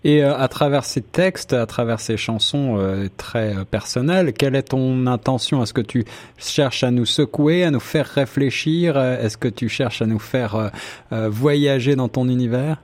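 A man's voice: mid-range voice, moderate pace, a little mellow. Conversational male radio host. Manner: conversational, long winding questions. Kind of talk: interviewing a guest.

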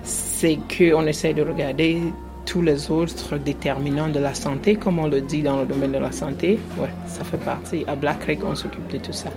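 A woman nurse answers a reporter's questions about health care at an open house. She explains patiently, pausing on fillers as she searches for words. Music plays in the background. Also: low-pitched, fairly even intonation. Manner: explanatory, hesitant with fillers, laughs easily. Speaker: nurse at a community health centre. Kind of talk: street interview answers. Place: indoor open-house event.

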